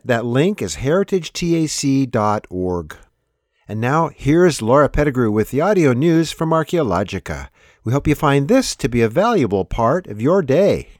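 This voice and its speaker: A man's voice: medium pitch, a little mellow, no room sound, clean studio sound. A man reading a scripted announcement as a program announcer.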